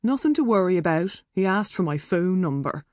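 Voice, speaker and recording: A woman's voice: fairly low pitch, expressive, moderate pace, a little mellow, no echo, lightly acted. Irish woman in her twenties, scornful, world-weary, blunt, audiobook character, acted by a woman, close and dry. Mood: blunt, offhand.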